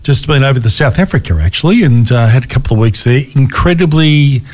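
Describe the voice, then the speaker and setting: A man with an Australian accent co-hosting a breakfast radio show, his voice croaky from lack of sleep, chatting casually with many fillers. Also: mid-range voice. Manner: relaxed, halting, many fillers. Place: radio studio.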